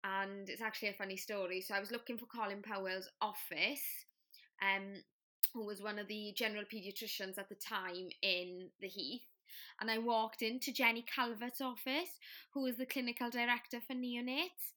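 A woman's voice: medium pitch, steady tone, normal speed, pushed and bright. A young woman with a Welsh accent, a trainee children's doctor, chatting as a guest and telling stories with plenty of fillers. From Wales. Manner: friendly, chatty storyteller with many fillers. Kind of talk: casual interview chat.

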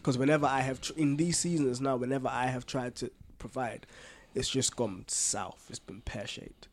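A man co-hosting a casual podcast, thinking aloud in a reflective, halting way with informal slang. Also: medium pitch, steady tone. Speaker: podcast co-host. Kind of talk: casual conversational podcast chat.

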